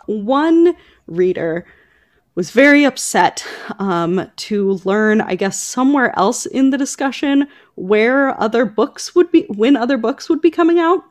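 A woman's voice: on the high side, animated, measured pace. A woman hosting a podcast in a casual, conversational style, informal and full of asides. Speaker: podcast host. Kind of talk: casual conversational book chat.

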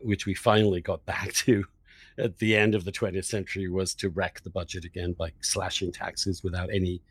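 Male podcast host, lowish pitch, dry close sound, argumentative and emphatic in political conversation. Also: level tone, fairly quick, slightly rough, dry recording.

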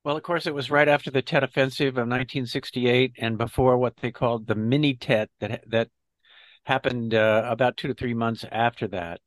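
Older male veteran guest, steady mid-pitched voice, measured and reflective, dry close sound. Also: no echo. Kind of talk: interview recollection.

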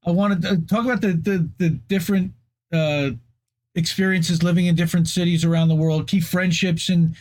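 A man hosting a podcast interview, asking questions haltingly and stumbling over his words. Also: sits high, steady tone, darkish tone. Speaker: podcast host.

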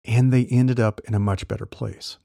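Gravelly-voiced man coaching on careers. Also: mid-range voice, steady tone, moderate pace, warm tone, no echo. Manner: conversational, explanatory, light laughs. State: losing his voice. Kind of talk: solo podcast talk.

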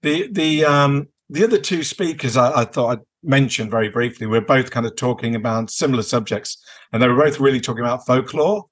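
A British man chats informally as a podcast co-host. His voice sits at an ordinary middle pitch, and he feels his way through sentences in a halting, rambling fashion. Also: slightly rough. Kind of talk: casual unscripted chat.

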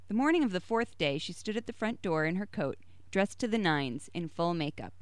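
Smooth-voiced woman reading a short story. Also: on the low side, lively intonation, brisk, no echo. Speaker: story reader.

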